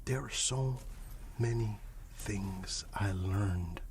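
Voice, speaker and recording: A man giving an encouraging, rambling ASMR monologue that slips between a breathy whisper and soft speech, often repeating himself. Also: on the low side, very slow, slight background noise. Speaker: ASMR channel host.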